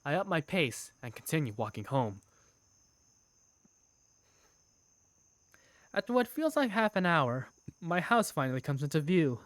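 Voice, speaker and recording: A woman's voice: low-pitched, no room sound. A woman playing an affectionate young working big sister, narrating her own story in a visual novel fan dub. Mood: weary, unsettled. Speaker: narrator and protagonist. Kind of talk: first-person visual novel narration and dialogue.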